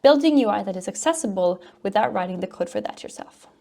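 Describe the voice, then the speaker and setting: A woman from Norway speaks English. She presents as a conference speaker, explaining technical material in an informal, upbeat way. Her voice sits at an average pitch for a woman. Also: lively intonation, fast, gravelly, warm tone. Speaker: conference speaker, front-end developer and educator.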